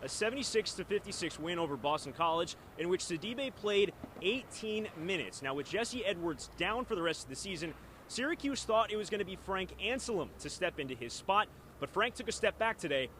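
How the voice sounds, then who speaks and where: Young man, bright sports announcer voice. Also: sits high, big pitch swings, a little fast, slight background noise. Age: 20s. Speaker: sports reporter and baseball radio play-by-play announcer. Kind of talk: sports reporting and live baseball commentary.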